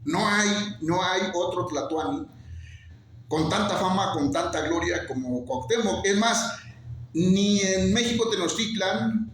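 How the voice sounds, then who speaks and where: A middle-aged man from Mexico, a Nahuatl speaker by birth, talks with passion and builds his points through repeated, emphatic lists. His voice sits fairly high for a man. The sound carries heavy reverb and a faint hiss behind it. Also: takes it slowly, fairly bright tone. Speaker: teacher and songwriter guest. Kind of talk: interview, earnest explanation. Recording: very echoey with faint noise.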